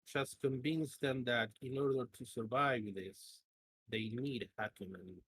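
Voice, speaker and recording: A man with a husky voice, a player casually reasoning through a tabletop game in a deliberate way. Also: medium pitch, a bit bright, rough recording.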